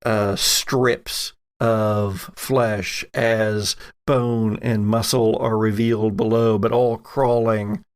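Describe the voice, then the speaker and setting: A man with a gravelly voice narrating a tabletop horror game as game master, deliberate and dramatic in his storytelling. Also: lowish voice, little pitch movement, no room sound, studio quality.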